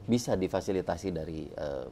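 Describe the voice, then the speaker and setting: A man's voice: low voice, lively intonation, fairly quick, crowd noise. A man talking as a guest about bank appraisal work, casual and jokey with many fillers. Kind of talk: casual panel talk.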